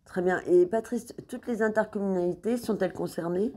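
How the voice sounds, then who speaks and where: Woman, interviewer, medium pitch, dark mellow tone, question-and-answer delivery. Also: fairly quick.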